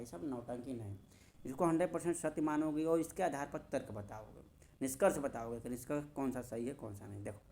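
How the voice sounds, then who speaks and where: A man's voice: on the high side, darkish tone. A man teaching an informal coaching lesson, repeating key phrases and answering his own questions as he talks straight to students. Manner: emphatic, addresses students directly. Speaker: maths and reasoning teacher.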